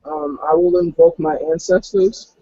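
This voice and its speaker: A man's voice: a little high, steady tone, a little slow, mellow, dry recording, lo-fi sound. A man playing a tabletop roleplaying game, chatting casually and describing what his character does.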